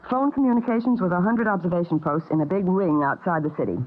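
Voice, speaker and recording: An actress playing a cool, businesslike female conspirator with a brisk manner in old radio drama style. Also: lowish voice, close to an everyday voice. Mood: cool, scheming. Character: cool, businesslike female saboteur briefing her chief. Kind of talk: 1950s radio drama acting. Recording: scratchy old narrow-band radio recording.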